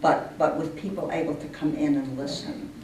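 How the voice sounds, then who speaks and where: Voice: mid-range voice, fairly even intonation, normal speed, darkish tone. Earnest group leader chatting in an interview, far from the mic w lots of background noise. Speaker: leader of a poetry reading-aloud group. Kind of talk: informal interview answers. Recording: echoey distant room recording, clear noise.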